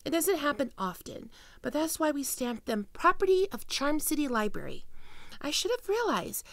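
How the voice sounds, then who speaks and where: A young woman playing a high-energy, teasing fox-woman librarian in an expressive roleplay performance addressed to the listener. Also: no echo. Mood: flustered, apologetic.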